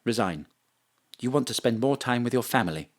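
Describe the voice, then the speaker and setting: A man playing a cool, smooth political adviser with a dry, flirtatious manner in an audio drama. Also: medium pitch, lively intonation, fairly quick, natural voice.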